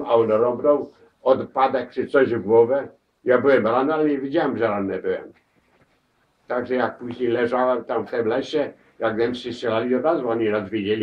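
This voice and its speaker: An elderly man shares his war memories in a crowded living room. He speaks haltingly, repeating words and restarting his sentences. He is picked up from across the room on a dull camera mic. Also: medium pitch. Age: older. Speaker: war veteran interviewee. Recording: distant, dull camera audio.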